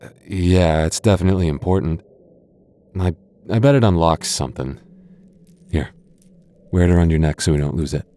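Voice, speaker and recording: A man's voice: deep voice, moderate pace, long pauses, dark tone, natural voice. A man playing a teasing goth boyfriend. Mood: playful. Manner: teasing, stammering, chuckling often. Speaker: voice actor. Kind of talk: ASMR boyfriend roleplay.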